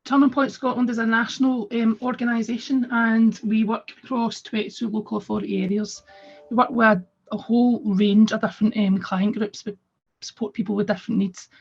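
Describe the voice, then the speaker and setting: A woman with a cold gives a friendly webinar talk, her voice husky and croaky. She fills her sentences with ums and uhs. The audio is dull and lo-fi. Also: fairly high pitch, level tone, fairly quick, soft background music. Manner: friendly, with frequent fillers. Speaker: head of a drug and alcohol service. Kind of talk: webinar presentation.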